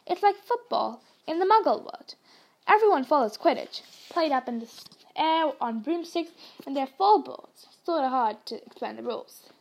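Gruff kindly giant gamekeeper, read by a woman; hearty, raised, fairly high. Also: animated, moderate pace, low-quality recording.

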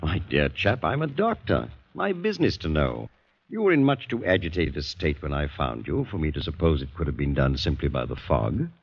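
Deep husky British doctor. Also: male voice, sing-song, normal speed, full character voice. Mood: probing, persuasive. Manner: genteel, coaxing, insistent. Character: solicitous, probing English doctor and war veteran. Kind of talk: 1940s radio drama acting. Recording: dull old radio drama recording.

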